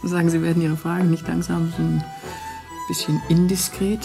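An actress playing a guarded, wry dissident poetess in a radio play, with a dry, teasing directness. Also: female voice, fairly low pitch, little pitch movement, moderate pace, gravelly, music in the background.

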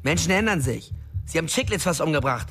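A reckless young gangster out for revenge in a radio crime play. His voice is fairly high, defiant and insistent, with faint music behind it. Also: animated, quick pace, fairly bright tone, slightly rough, full character voice. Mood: vengeful, insistent. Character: vengeful, reckless young male gang member. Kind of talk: radio crime drama dialogue.

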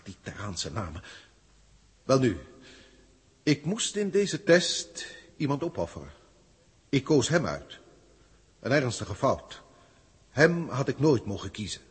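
An actor in a 1960s radio drama playing an old, emotional alien proctor, speaking theatrically in formal, archaic phrases with a husky voice. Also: male voice, medium pitch, heavily acted. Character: old emotional alien senior proctor, male teacher, wry. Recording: reverberant 1960s radio studio recording.

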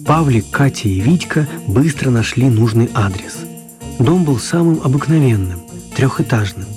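A man's voice: medium pitch, moderate pace, over music. A man narrating a children's radio play. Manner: even, storytelling. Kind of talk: scripted storybook narration.

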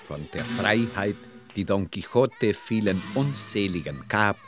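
Chapter announcer: grave, lowish voice, deliberate slow reading, swooping intonation. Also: male voice, lively intonation, music in the background.

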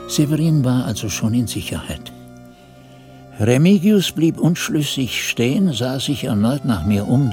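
An actor playing a reflective monk who narrates his memories in measured, literary radio drama storytelling. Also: fairly low pitch. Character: reflective monk recounting his novice days. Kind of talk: scripted first-person radio drama narration.

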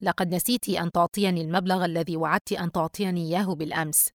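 A woman's voice: fairly low pitch, steady tone, very fast, dry recording, natural voice. A sly, indifferent teenage brother, acted by a female narrator in an audiobook story. He speaks with cold sarcasm and a taunting, careless edge. The recording is dry. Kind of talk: dramatised audiobook dialogue.

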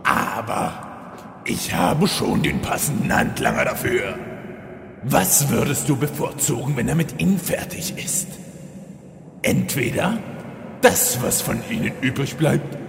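Hammy undead evil overlord, super gravelly, voice swings all over, comedy fantasy radio play in a big echoey hall. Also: male voice, mid-range voice, sing-song, unhurried, full character voice. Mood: smug, scheming. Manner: theatrical, hammy villain delivery. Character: undead arch-villain lord, theatrical, exasperated, comically domestic. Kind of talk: German audio drama parody. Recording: echoey hall reverb, faint noise.

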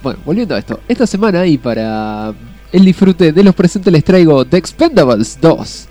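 Argentine man, rioplatense accent, casual film-review host, laughs a lot, slightly high voice, faint music behind. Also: sing-song, moderate pace, mellow. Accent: Rioplatense Spanish. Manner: laughs often, filler-heavy. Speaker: podcast co-host presenting a film segment.

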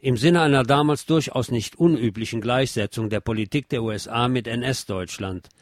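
A man presenting a music history programme in scripted, measured and articulate documentary narration. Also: medium pitch, no room sound, studio quality. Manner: measured, articulate lecturing delivery.

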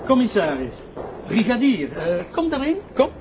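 An actor playing a genial, formal public prosecutor with a Flemish accent and a husky voice, pausing as he talks in a radio drama. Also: high-pitched, huge pitch swings, music in the background. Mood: jovial. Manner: jovial, hesitant pauses, formal. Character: genial, smooth-talking male public prosecutor, authoritative host. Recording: dull, muffled radio drama recording.

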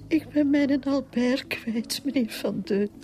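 An actress playing a grieving, embittered burglar's widow with a Flemish accent and a hesitant, sighing delivery in a radio drama. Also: female voice, high-pitched, slow, dark tone. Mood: distressed.

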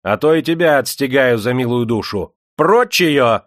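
Storybook tyrant played by a male reader: a jeering, imperious factory boss, raised and forceful, barking orders. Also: a little high, lively intonation, unhurried, dry recording, studio quality, pitched above the performer's own voice. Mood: enraged. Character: despotic, jeering Russian factory owner, hot-tempered bully.

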